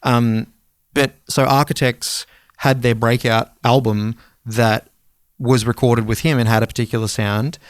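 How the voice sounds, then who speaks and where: A man's voice: mid-range voice, level tone, unhurried, clear, resonant tone, no echo, studio quality. A man in his thirties co-hosting a podcast in casual conversation, rambling along and swearing casually. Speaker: podcast co-host. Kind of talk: casual music podcast chat.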